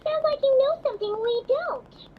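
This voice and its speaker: A woman voicing a small, chirpy, inquisitive floating companion with a childlike squeak in a video game. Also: moderate pace, narrow, tinny audio, a little hiss or hum. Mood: puzzled. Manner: speaks of herself in third person.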